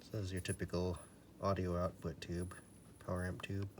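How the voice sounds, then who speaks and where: A man with a fairly low voice talks through a hands-on job in a casual, matter-of-fact way. He takes his time and sometimes trails off. Clear background noise and small handling clicks sit behind him. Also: monotone, a little fast, a little mellow. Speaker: radio repairer demonstrating. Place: indoors at a table.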